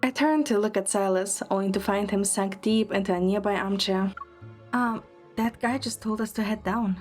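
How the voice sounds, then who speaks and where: A woman's voice: medium pitch, moderate pace, soft background music. A woman performing scripted first-person narration and lead dialogue in an audio drama.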